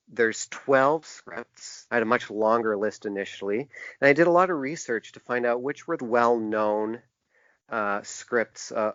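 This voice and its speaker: A man answering interview questions as a designer, in a conversational but halting way, often restarting his phrases. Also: medium pitch, a bit bright, no room sound. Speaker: podcast guest, book designer. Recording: dull, band-limited lo-fi sound.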